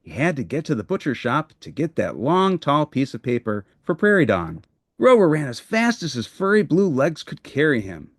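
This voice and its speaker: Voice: medium pitch, very animated, moderate pace, dry recording, clean studio sound. A storybook narrator reading a children's book aloud in a scripted narration style.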